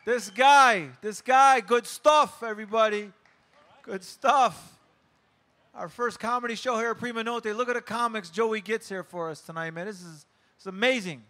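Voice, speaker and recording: A man's voice: sits high, moderate pace, pushed and bright. A man hosting a live comedy show, hyping the crowd and introducing acts with repeated short phrases. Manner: hyped, repetitive. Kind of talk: live stage announcing.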